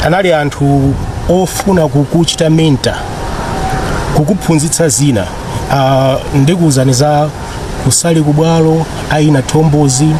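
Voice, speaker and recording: A man's voice: fairly high pitch, noisy background. A man with a Malawian accent, speaking conversationally as he reminisces in an interview. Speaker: former broadcaster and voice-over talent being interviewed. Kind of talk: conversational reminiscing, mixing Chichewa and English.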